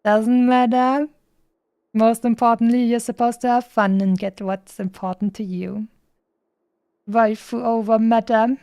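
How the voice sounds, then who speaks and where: A woman's voice: a little high, level tone, unhurried, warm tone, smooth, no echo. A woman game streamer chatting casually, rambling with playful asides. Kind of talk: casual livestream chat.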